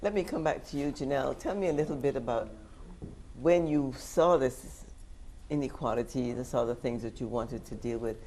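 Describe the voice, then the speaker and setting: A man's voice: medium pitch, moderate pace, a little mellow, slight background noise. A man hosting an interview, asking questions and murmuring agreement as guests speak. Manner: encouraging, frequent murmured agreement. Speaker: interview host.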